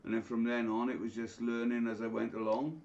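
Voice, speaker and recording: A man's voice: medium pitch, little pitch movement, brisk, gravelly, darkish tone, slight background noise. A middle-aged man telling blunt, sweary anecdotes in a casual group chat. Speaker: guest telling his own story. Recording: dull lo-fi online call audio.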